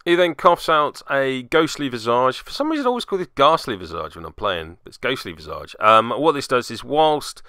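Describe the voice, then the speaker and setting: A man's voice: mid-range voice, big pitch swings, moderate pace, few pauses, pushed and bright, no room sound, clean studio sound. A man hosting a tabletop game web series, giving chatty voice-over commentary with wry, cheeky asides. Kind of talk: casual scripted-feel commentary over game footage.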